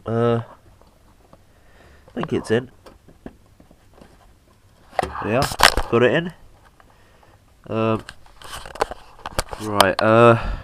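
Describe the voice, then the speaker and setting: A young man with a slight cold, narrating a PC hardware install in a halting, casual way with lots of fillers. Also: mid-range voice, noisy background. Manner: halting, many fillers, talks while working. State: has a cold, slightly bunged up. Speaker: PC hobbyist showing a hardware install.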